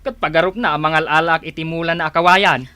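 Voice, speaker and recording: A woman's voice: low voice, steady tone, fast talker, sharp tone, no room sound. A woman playing a whiny, scheming village spouse who feigns illness in a radio drama, complaining plaintively with an Ilocano accent. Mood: worried, complaining.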